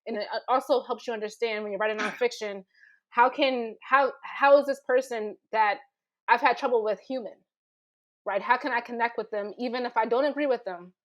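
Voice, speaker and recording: A woman's voice: on the high side, brisk, lo-fi sound. A woman who teaches writing, talking informally on a panel, emphatic and often rephrasing herself as she explains. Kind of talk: informal panel discussion talk.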